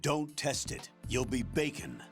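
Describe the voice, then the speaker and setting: An actor playing a stern, experienced commanding officer in a video game, brusque and instructive. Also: male voice, medium pitch, very animated, unhurried. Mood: brisk, instructive. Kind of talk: video game cutscene acting. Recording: music under the voice. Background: music.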